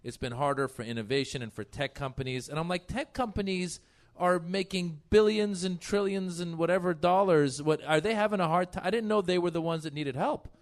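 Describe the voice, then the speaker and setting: A man with a somewhat high voice chats as a comic guest on a panel. He jokes in a loose, conversational style, as if talking among colleagues on stage. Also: brisk. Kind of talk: casual joking chat on a live panel.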